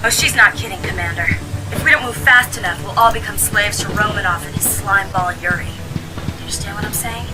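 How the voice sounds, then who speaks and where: A woman's voice: mid-range voice, brisk, over music, close to an everyday voice. An actress playing a brash, tough, impatient female commando, blunt and cocky in a game cutscene. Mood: urgent. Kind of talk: dramatic game cutscene acting.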